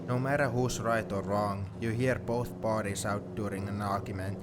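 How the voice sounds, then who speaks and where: Man doing a sappy teasing young guy friend, kinda deep voice, asmr roleplay chat, car noise in the back. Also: moderate pace, close to an everyday voice. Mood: earnest, curious. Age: young adult. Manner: reflective, playfully defensive. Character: teasing, sentimental young man heading to university. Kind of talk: intimate ASMR roleplay, one-sided conversation. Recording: steady car noise behind the voice.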